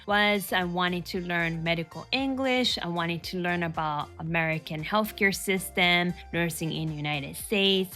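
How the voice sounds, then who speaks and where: A woman's voice: on the low side, pushed and bright. A middle-aged woman from Japan with a Japanese accent, a nurse practitioner hosting an earnest, upbeat advice talk for nurses. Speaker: nurse practitioner and career mentor, host.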